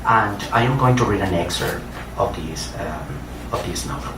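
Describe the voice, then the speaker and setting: A middle-aged man with a Colombian Spanish accent speaks in a fairly low voice at a public reading. His delivery is halting and hesitant. He sounds far from the microphone in an echoey room. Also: expressive. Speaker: writer and poet giving a reading. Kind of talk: public reading with personal introduction. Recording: roomy, distant mic, clear noise.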